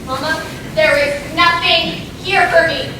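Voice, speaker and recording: Echoey, high-voiced, determined teenage girl played by a woman. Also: steady tone, measured pace, distant mic. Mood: defiant. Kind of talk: stage play acting. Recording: echoey stage recorded from afar, noisy.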